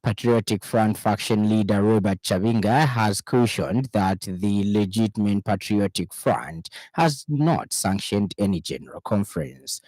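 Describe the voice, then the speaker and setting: A man with a Zambian accent reading a scripted radio news bulletin in a formal, measured way. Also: lowish voice, darkish tone, dry recording, rough recording. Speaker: news reader. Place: radio studio.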